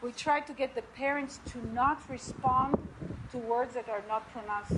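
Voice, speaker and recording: Israeli woman lecturer, high and bright voice, emphatic, repeats key points. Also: moderate pace, background noise. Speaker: lecturer presenting to clinicians. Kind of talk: lecture narrating patient videos.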